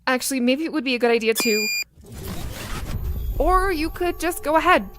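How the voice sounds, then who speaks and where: A woman's voice: high-pitched, lively intonation, moderate pace, over loud music. A woman playing a cool, determined professional who steadies her friend, dryly teasing, in an audio drama. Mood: composed, teasing.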